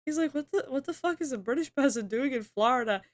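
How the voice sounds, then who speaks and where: A woman's voice: high voice, expressive, quick pace. A young woman from the UK chatting casually on a stream, reading chat aloud, laughing often and swearing. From United Kingdom.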